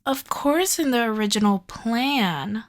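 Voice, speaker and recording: Woman acting a slow, sweet, possessive kidnapper girlfriend. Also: a little high, animated, soft background music. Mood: doting, reassuring. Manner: doting, coaxing, softly controlling. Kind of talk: ASMR audio roleplay.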